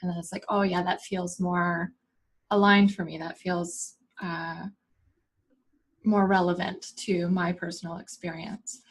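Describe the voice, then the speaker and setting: Slightly husky woman host, chatty. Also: on the low side, little pitch movement, measured pace. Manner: chatty, filler-heavy, quotes herself in playful asides. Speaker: interview host. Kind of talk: casual conversational interview.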